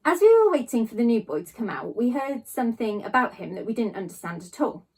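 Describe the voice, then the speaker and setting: A woman reading a children's novel aloud, narrating in a child's first person with expressive storytelling emphasis. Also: a little high, brisk, slightly rough, rough recording. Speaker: storybook reader.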